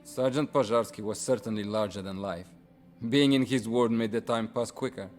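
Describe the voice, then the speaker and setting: An actor playing a haunted, war-weary Soviet officer who talks in a troubled, bitter way. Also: male voice, on the low side, moderate pace, a little mellow, faint music behind, close to an everyday voice.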